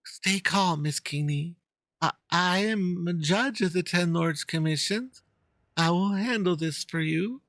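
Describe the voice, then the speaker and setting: A man's voice: high voice, a little slow, fairly bright tone, dry recording, clean studio sound, voice pushed up. A man voicing a timid, formal young female judge who hunts ghosts, reading video game dialogue hesitantly and politely. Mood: reassuring.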